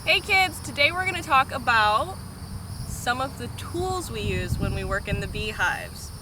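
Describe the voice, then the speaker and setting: High, lively woman talking to kids. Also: unhurried, noisy background. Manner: simple, child-directed explanations. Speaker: children's storytime presenter and beekeeping demonstrator. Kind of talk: explaining and reading a picture book aloud to children.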